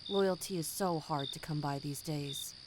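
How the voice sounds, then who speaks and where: A woman's voice: low voice, measured pace, background noise. A woman playing a cold, condescending company boss in an audio drama, formal, smug and menacing. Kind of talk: scripted audio drama acting.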